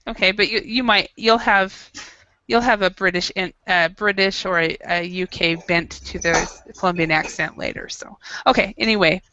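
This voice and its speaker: A woman's voice: mid-range voice, fairly even intonation, moderate pace, bright, forceful tone, muffled recording, slight background noise. A woman teaching an English class, running a quiz with students and reading an article aloud in a chatty, encouraging way. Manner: chatty, encouraging, laughs easily.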